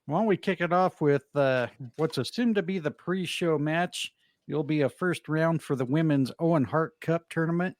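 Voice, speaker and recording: A man's voice: fairly high pitch, moderate pace, no room sound. A man hosting a casual wrestling podcast, easygoing and rambling, with lots of fillers and self-deprecating asides. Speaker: podcast host.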